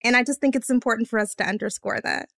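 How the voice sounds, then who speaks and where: A woman's voice: quick pace, gravelly, fairly bright tone, no room sound, studio quality. Earnest, emphatic woman guest. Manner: earnest, emphatic, rising pitch when stressing points. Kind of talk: earnest conversation.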